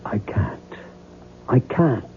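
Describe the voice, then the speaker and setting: An actor playing a tormented, stubborn novelist in a 1970s radio drama, husky-voiced, intense and halting. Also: male voice, slight background noise. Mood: anguished, insistent. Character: tormented, obsessive male novelist, stubborn and brooding. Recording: muffled, narrow-band vintage radio recording.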